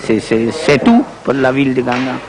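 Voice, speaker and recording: A man's voice: medium pitch, big pitch swings, moderate pace, music in the background, rough recording. A man born in Italy, a volunteer speaking plainly and unhurriedly in an interview. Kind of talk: casual interview.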